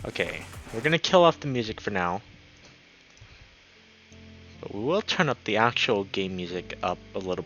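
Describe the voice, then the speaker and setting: Excitable man streaming, bright lively voice. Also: mid-range voice, muffled recording. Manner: excitable, chatty, greets viewers by name. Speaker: game streamer and host. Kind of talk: casual livestream chat while playing a visual novel.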